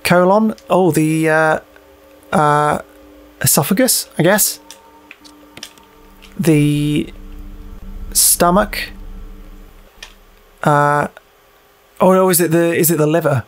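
A man's voice: fairly high pitch, takes it slowly, pauses often, clear, resonant tone, soft background music. A man hosting a gaming video with casual, jokey, sweary commentary, muttering to himself and reading on-screen text aloud. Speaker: gaming video host playing a horror game.

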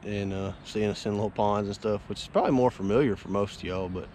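Low-voiced man chatting outdoors. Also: fast, a little mellow, slight background noise. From Florida Panhandle, US. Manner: easygoing, rambling, coaching kids between asides. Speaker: fishing charter captain. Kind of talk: casual outdoor vlog talk. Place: outdoors by a neighborhood pond. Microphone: wearable action camera.

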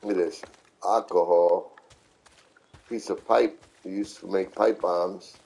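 A middle-aged man giving casual, unhurried live game commentary, repeating himself as he explains. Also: low-pitched, steady tone, gravelly, darkish tone. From New York City, United States. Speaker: video game streamer.

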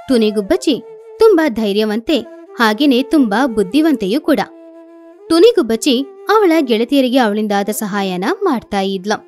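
A woman's voice: sits high, a little mellow. A woman narrating a scripted children's story as the storyteller.

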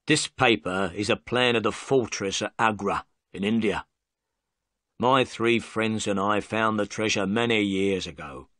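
A man reading a storybook, voicing a bitter middle-aged male prisoner who speaks slowly and low with resignation. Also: bright, forceful tone, dry recording, clean studio sound. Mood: resigned.

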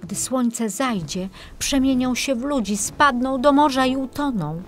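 A woman reading a children's fairy tale as scripted narration, in a measured, expressive storytelling manner. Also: high voice. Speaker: main narrator of a children's audiobook. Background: music.

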